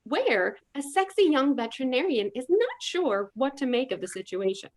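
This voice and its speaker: A woman's voice: high-pitched, very animated, normal speed. A woman narrating a comedy story in an arch, sarcastic tone.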